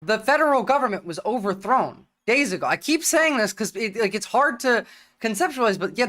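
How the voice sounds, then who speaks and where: A woman's voice: fairly high pitch, fairly bright tone. A young American woman with a mixed American accent, hosting a livestream, talking bluntly and profanely in casual rambling commentary. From United States. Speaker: livestream host.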